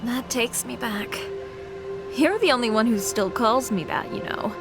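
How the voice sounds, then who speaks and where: A woman playing a serene, gracious healer being in a fantasy video game, measured, formal and gentle. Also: a little high, expressive, over music. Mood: wistful. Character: serene, gracious female healer Digimon with a grave dignity. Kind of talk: English video game voice acting.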